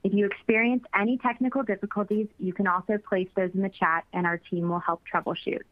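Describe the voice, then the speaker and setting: Woman moderator, scripted and even, tinny phone-line sound. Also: mid-range voice, fast. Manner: reads housekeeping notes. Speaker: webinar moderator. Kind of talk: scripted announcement.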